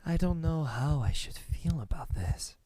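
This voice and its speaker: A young man playing a menacing student kidnapper in an ASMR roleplay, calm and smug, speaking softly and deliberately into a close microphone. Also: a little high, no echo. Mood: quiet confession. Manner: soft, deliberate, breathy sighs, dropping into whispers.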